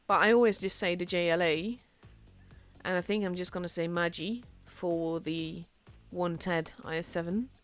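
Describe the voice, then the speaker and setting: A young Dutch woman, a native Dutch speaker, casting a tank game solo in a chatty, self-deprecating way that grows excited at big plays. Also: lowish voice, normal speed, faint music behind. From Netherlands. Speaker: esports caster.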